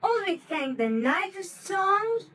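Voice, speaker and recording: A woman's voice: very high voice, very animated, slow, very noisy, exaggerated voice. A woman playing a small sickly boy in a radio drama, sweet and earnest.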